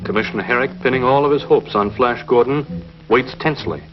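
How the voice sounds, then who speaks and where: A man's voice: medium pitch, fairly quick, natural voice. A man narrating a 1950s television space serial in a grave, dramatic scripted style. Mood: grave, suspenseful. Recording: dull vintage 1950s TV soundtrack. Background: music.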